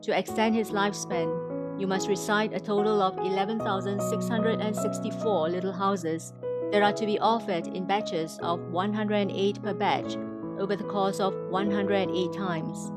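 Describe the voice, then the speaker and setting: A woman from Indonesia reads a scripted inspirational testimony in a formal, measured way. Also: mid-range voice, steady tone, loud music behind the voice. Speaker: testimony speaker.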